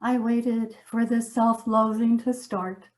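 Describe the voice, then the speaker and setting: An actress playing a liberated, wonder-struck yoga student in a comic monologue, with dramatic emphatic pauses. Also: female voice, a little high, little pitch movement. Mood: awed, liberated. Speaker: amateur stage actor.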